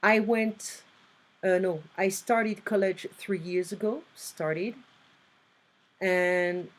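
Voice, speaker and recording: A woman's voice: mid-range voice, fairly even intonation, extremely slow. A woman tutoring English one-on-one online, patiently explaining grammar and reading exercises aloud.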